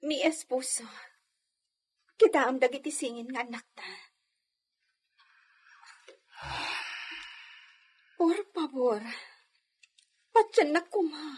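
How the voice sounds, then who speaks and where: High-pitched, anguished young Filipino wife played by an actress. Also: female voice, animated, measured pace, a little mellow. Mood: meek, pleading. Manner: plaintive, pleading. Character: anguished young mother and neglected, pleading wife. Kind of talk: Ilocano radio drama acting.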